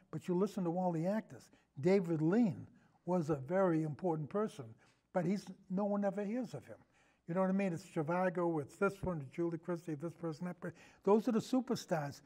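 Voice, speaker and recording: A man's voice: high voice, dark tone. A man speaking as a podcast guest in a casual film discussion, rambling earnestly and repeating himself as he goes.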